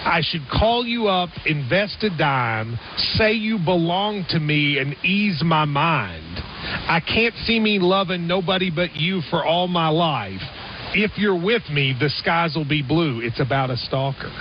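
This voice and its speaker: A man hosting a call-in sports radio show, quick and teasing, heard through a noisy radio broadcast. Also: a little high, expressive. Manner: quick, teasing, trivia-minded. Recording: muffled radio broadcast with noise.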